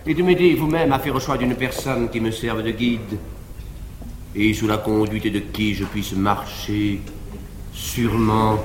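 Man acting a deep, haughty libertine nobleman, reverberant hall. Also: fairly even intonation, unhurried, lightly acted. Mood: feigned piety, solemn. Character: cold, cynical, arrogant nobleman libertine. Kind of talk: classical French stage acting. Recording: reverberant 1950s live theatre recording, faint noise.

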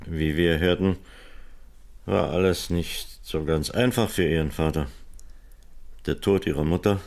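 An actor playing a sober, methodical police investigator in a radio crime play, terse and businesslike. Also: male voice, low-pitched, unhurried, creaky, darkish tone, dry recording. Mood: measured.

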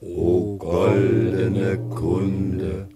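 A man's voice: deep voice, little pitch movement, very slow. A man playing a solemn, gullible poor farmer of a Greek chorus, chanting in a German classical comedy radio play. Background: music.

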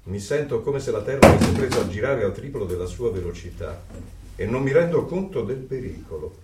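Radio play monologue: male actor as earnest rebel, mid-pitched, reflective, distant and reverberant. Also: lively intonation, fairly quick, noisy background, lightly acted. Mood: amazed, uneasy. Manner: reflective, intense. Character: earnest, brooding male dissident narrating his secret thoughts. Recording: echoey, distant over music.

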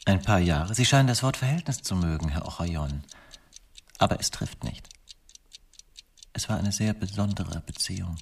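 An actor playing a guarded, proud academic husband under questioning in a German radio crime drama, answering tersely and quietly. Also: male voice, deep voice, lively intonation, moderate pace, creaky, pauses often, husky, distant mic, exaggerated voice.

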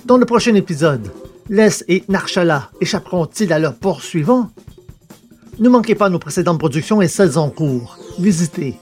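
High-voiced man announcing over music. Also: expressive, normal speed. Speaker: presenter. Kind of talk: scripted announcing.

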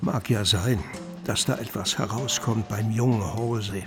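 An actor playing a condescending, dismissive man in a radio drama, with a creaky voice. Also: fairly low pitch, fairly even intonation, unhurried. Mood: musing, dismissive. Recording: music behind the voice.

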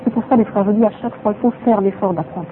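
Guy giving a religious talk, talks fast, sprinkles in arabic phrases, sounds like a phone line. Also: high-pitched. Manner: mixes in Arabic phrases, repeats points for emphasis. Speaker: religious teacher. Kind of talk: religious lesson. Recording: tinny phone-like sound with faint noise.

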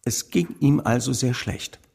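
An actor playing a suspicious chief inspector in a radio drama, with a dry, insinuating way of questioning. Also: male voice, mid-range voice, unhurried, creaky, a little mellow, slightly rough. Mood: probing.

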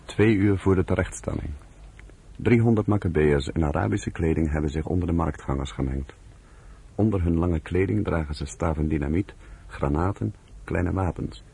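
A man with a gravelly voice, delivering terse scripted narration for a radio drama. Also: low-pitched, normal speed, warm tone, full character voice.

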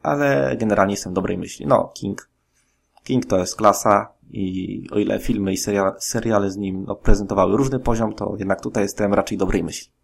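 A man with a low, gravelly voice comments as a podcast guest. His phrasing is hesitant and broken into short pieces, with a husky tone. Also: a little fast. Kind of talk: spoken opinion commentary.

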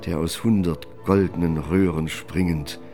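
A man narrating a literary radio drama in a measured, formal manner. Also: low-pitched, steady tone, over music.

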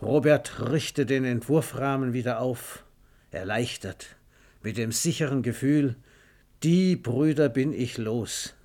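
A man's voice: mid-range voice, slow pace, a little mellow. A man reads a scripted radio story in a theatrical, emphatic storytelling manner. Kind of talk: dramatic scripted storytelling narration.

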